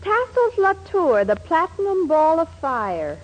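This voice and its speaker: An actress playing a teasing, knowing young daughter in a 1940s radio comedy, with playful delivery. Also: female voice, extremely high pitch, huge pitch swings, a little slow, exaggerated voice. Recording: dull, noisy 1940s radio broadcast.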